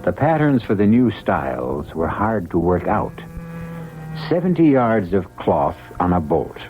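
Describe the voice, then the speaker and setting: An actor as a grave, measured storyteller, narrating a mystery for a vintage radio series. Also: male voice, sits low, very animated. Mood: grave, knowing. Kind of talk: scripted dramatic narration. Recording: narrow-band vintage radio with loud music.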